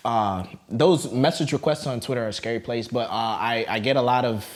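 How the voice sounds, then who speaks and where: A man's voice: medium pitch, fairly quick. A young man, a content creator, in casual sit-down chat with playful, laughing banter.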